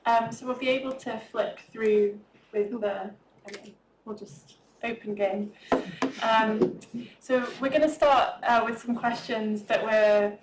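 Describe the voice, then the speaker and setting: A woman's voice: on the high side, fairly even intonation, slow. A woman moderating a panel discussion, hesitant with many ums. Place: public talk room. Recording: roomy far-off mic with faint room noise.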